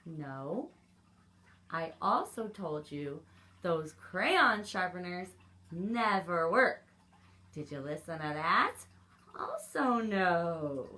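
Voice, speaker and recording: A woman's voice: medium pitch, bright, forceful tone, exaggerated voice. A woman voicing a sarcastic, exasperated crayon scolding its owner in a children's storybook reading.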